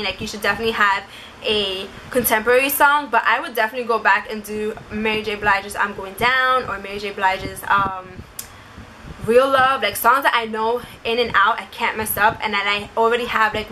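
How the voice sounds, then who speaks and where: A woman's voice: mid-range voice, steady tone, normal speed, bright, forceful tone, slight background noise, poor audio. A woman vlogger chatting casually and informally, rambling along as she shares her experience and advice, often saying "like". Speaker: vlogger sharing audition experience. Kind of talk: casual chat to camera.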